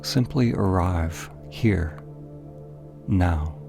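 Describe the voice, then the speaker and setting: A man narrating a guided meditation in a calm, gentle, deliberate way, leaving pauses between phrases. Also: deep voice, vocal fry, music in the background.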